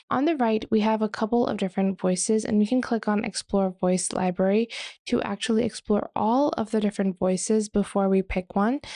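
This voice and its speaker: A woman narrates a step-by-step software tutorial in a relaxed, mellow voice. Her speech often settles into a gravelly creak. The sound is very dry, with hardly any room around it. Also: medium pitch, no echo. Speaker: tutorial presenter.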